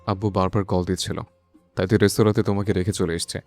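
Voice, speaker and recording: A man's voice: low voice, level tone, quick pace, a little mellow, dry recording, soft background music, lightly acted. A man playing a brooding, lovestruck young son in an audio love story, earnest and insistent, mixing in English words. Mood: pleading, soothing.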